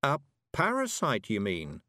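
A man voicing a stern, dry-humoured middle-aged witch who speaks slowly and deliberately. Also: medium pitch, wildly swooping pitch, pushed and bright, dry recording, voice pushed up, heavily acted. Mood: curious.

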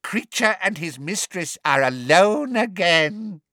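A man's voice: sits high, big pitch swings, takes it slowly, bright, forceful tone, no echo, clean studio sound, pitched above the performer's own voice, full character voice. A man voicing a wheezy, gleeful old male house elf who talks about himself slyly. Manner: cackling, sly, wheezy.

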